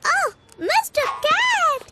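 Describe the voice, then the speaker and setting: Very high-pitched eager little girl. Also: animated, measured pace, heavy background noise. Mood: cheerful. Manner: childish, pleading. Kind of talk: Telugu cartoon dub acting. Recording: loud music behind the voice.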